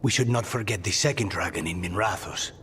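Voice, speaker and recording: A man's voice: fairly low pitch, brisk, fairly bright tone, in a large hall, a little hiss or hum, close to an everyday voice. A man playing a grave, dutiful assassin in a fantasy video game. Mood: grave, terse. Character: grave, dutiful male demon-possessed assassin.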